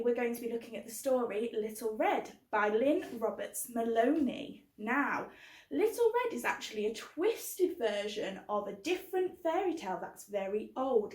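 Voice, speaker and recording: A woman's voice: on the high side, very animated, normal speed, darkish tone. A woman hosting a children's book club, explaining things simply and putting questions to young listeners. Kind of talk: scripted-sounding introduction for young children. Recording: roomy, echoing indoor sound.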